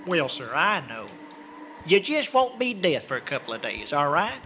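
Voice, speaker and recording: A man's voice: on the high side, sing-song, normal speed, pushed and bright, soft background music, exaggerated voice. An actor playing a folksy, bossy, good-hearted small-town man with a Southern American accent in a comic radio drama. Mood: friendly, persuasive. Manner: folksy, insistent, talkative. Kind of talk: comic old-time-style radio drama.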